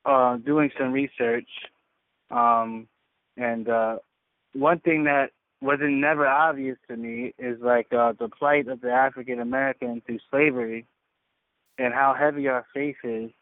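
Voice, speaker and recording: A man's voice: medium pitch, fairly even intonation, measured pace, dry recording. A man speaking casually and reflectively on a community call, earnest and often searching for his words. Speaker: caller on a faith community call. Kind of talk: casual, reflective personal sharing. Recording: narrowband, radio-like phone line.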